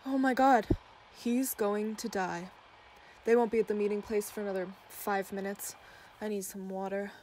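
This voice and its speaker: An actress playing a businesslike, exasperated police surveillance handler in a comedic radio play. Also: female voice, mid-range voice, steady tone, moderate pace, a little mellow, slight background noise, natural voice.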